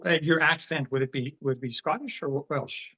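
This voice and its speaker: Halting Canadian-born male podcast host. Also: a little high. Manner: relaxed, halting, many fillers. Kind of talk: casual interview. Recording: dull, muffled sound.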